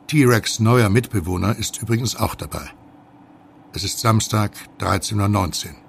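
A man with a gravelly voice delivering scripted narration for an audio drama. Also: on the low side, moderate pace, a little hiss or hum.

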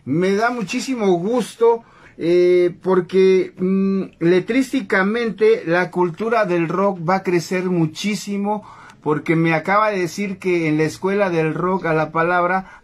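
A man's voice: sits high, a little slow. A middle-aged man hosting a casual interview in a cluttered home studio, eager and dropping English fillers. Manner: enthusiastic, mixes English fillers. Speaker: host.

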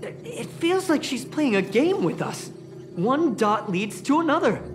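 A woman voicing an earnest, excitable young investigator in a sci-fi video game, expressively thinking aloud. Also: sits high, a little slow. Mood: curious, analytical. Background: background music.

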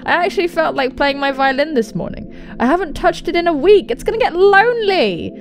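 A woman voicing a shy, sweet, excitable young woman from a dating sim in a cutesy, gushing manner. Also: very high-pitched, fairly quick, slight background noise, pitched above the performer's own voice. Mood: soft, wistful.

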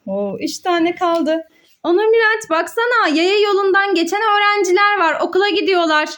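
A woman's voice: very high voice, animated, normal speed, a bit bright. A mother hosting a children's learning game, speaking in a sing-song, exclaiming way as she asks questions and answers them. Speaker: mother hosting a children's educational play video. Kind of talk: child-directed play narration with questions and answers.

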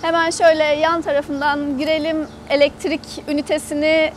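Female travel vlogger, high voice, chatty and explanatory, casual walk-around tour delivered outdoors. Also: level tone, moderate pace, a little hiss or hum. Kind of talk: casual guided tour to camera. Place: outdoors in an open camper park lot.

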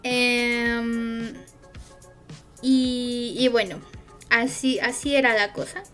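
A Mexican woman streamer talks in an unhurried, drawn-out way, her voice sitting fairly high. She rambles through casual chat, stopping often on fillers, with soft music in the background. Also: fairly even intonation. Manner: rambling, hesitant with many fillers.

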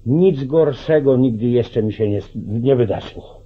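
An actor playing a beleaguered, reluctant sheriff in an old radio play, husky and hesitant. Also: male voice, mid-range voice, unhurried, lightly acted. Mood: weary, deferential. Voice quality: husky, gravelly. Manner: hesitant, deferential, weary. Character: beleaguered, reluctant male small-town sheriff. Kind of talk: mid-century Polish radio play acting. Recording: dull 1940s radio recording.

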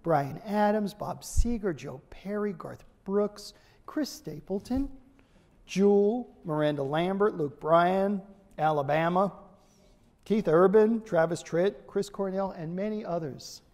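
A man's voice: high voice, slow pace. A man in his fifties giving an enthusiastic stage introduction at a podium in a large hall. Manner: warm, enthusiastic, emphatic repetition. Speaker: event host introducing the speaker. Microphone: podium mic. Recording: reverberant hall with audience murmur.

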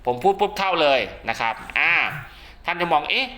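A man's voice: fairly high pitch, lively intonation, brisk, sharp tone, slight background noise. A man giving a casual spoken how-to demonstration, often checking that listeners follow along. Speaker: radio hobbyist presenter.

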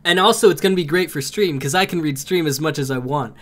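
A young man with a cold, streaming a game from home on a headset and greeting his chat by name with excited outbursts. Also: a little high, fast. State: has a cold.

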